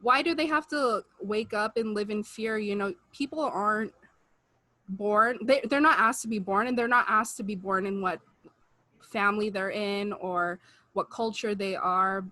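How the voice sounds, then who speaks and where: A woman's voice: mid-range voice, unhurried, bright, forceful tone, no echo. Earnest young woman, dry close sound. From United States. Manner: earnest, talks with rising feeling, many "like" and "you know". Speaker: podcast co-host. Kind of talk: casual chat on a video call. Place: room at home, indoors. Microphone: video call webcam mic.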